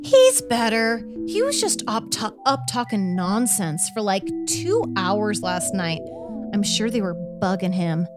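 A woman playing a needy, besotted lover in a theatrical dramatic reading, with a yearning, drawn-out delivery. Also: mid-range voice, sing-song. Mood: anxious, confiding. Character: besotted, needy woman sending secret love texts. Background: music.

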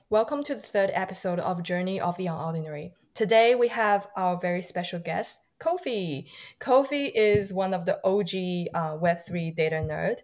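A woman's voice: medium pitch, a little mellow. A woman hosting a podcast, introducing the show and putting questions to a guest. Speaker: podcast host.

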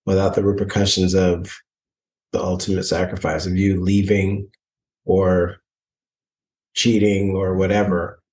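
Deep-voiced man, distant and echoey. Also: level tone, a little mellow. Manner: reflective, halting, many restarts. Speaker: podcast co-host. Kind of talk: casual couple chat.

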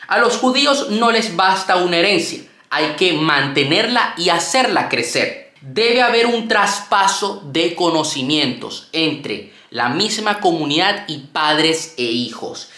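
A man's voice: sits high, bright, forceful tone, echoey room. A man from Venezuela giving a motivational talk to camera on his YouTube channel, speaking earnestly and straight to the viewer. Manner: earnest, addresses viewer directly. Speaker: YouTube self-help creator.

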